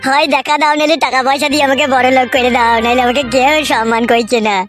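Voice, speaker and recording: A high, extremely fast voice from a man plays a self-pitying young son in a comedy cartoon. He is crude, whiny and defiant, and music plays behind him. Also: level tone. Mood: wailing, despairing. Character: aggrieved, foul-mouthed, self-pitying young married son. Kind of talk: Bengali comedy cartoon dub.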